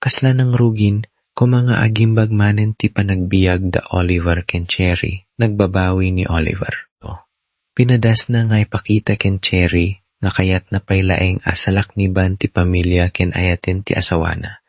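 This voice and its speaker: A male host narrates a scripted drama with a Filipino accent. His voice is deep, and the recording is close and dry. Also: little pitch movement, normal speed, dry recording.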